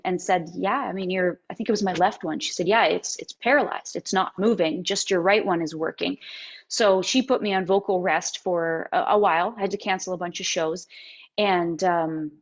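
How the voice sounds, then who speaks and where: Guest, female, 30s, faintly raspy voice, lively storyteller, dull lo-fi audio. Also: mid-range voice. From Canada and the United States. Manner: animated storytelling with quoted voices and halting restarts. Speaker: interview guest, singer-songwriter. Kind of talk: candid interview conversation.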